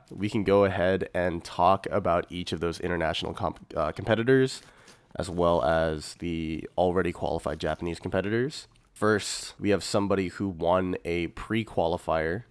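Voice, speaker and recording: Low-voiced man explaining casually, very dry. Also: no room sound. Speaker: podcast co-host.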